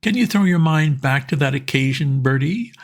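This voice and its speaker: A man voicing an excitable, lovestruck young gentleman who pleads and gushes in a raised voice. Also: on the high side, moderate pace, pitched above the performer's own voice. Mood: excited. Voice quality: eager, pleading, gushing.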